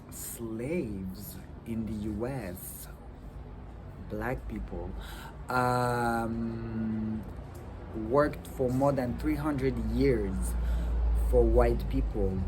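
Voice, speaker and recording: A man whose first language is French, talking casually to a phone camera on a tripod outdoors in the wind, halting with many ums and restarts. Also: medium pitch. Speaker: vlogger. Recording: wind noise on a phone mic. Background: wind and outdoor ambience.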